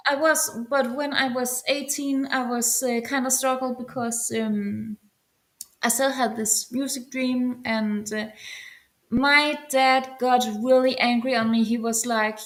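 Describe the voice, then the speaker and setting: A woman's voice: a little high, fairly even intonation, unhurried. A young woman who is not a native English speaker answers interview questions in a light voice, hesitating and searching for words. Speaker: guest musician.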